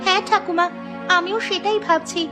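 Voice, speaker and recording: Woman as a high-voiced, sad little village girl. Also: steady tone, normal speed, over music. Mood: sad, plaintive. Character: poor, sad, frightened young village granddaughter. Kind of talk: dubbed cartoon dialogue.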